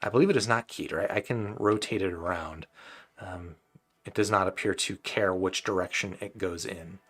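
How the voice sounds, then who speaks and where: A man's voice: deep voice, normal speed, fairly bright tone. A man hosting a live stream, answering viewer questions about audio gear in a casual, rambling way, his voice getting dry and tired. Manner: rambling and conversational, with frequent restarts.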